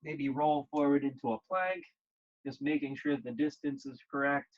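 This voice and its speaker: A man leading a guided yoga class, speaking gently and calmly in short, measured phrases with pauses. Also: mid-range voice, lo-fi sound. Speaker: yoga instructor.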